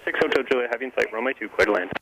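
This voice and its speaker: A man working as an air traffic controller, giving clipped clearances over an aviation radio. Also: medium pitch, rapid-fire, a bit bright. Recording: noisy crackly aviation radio link.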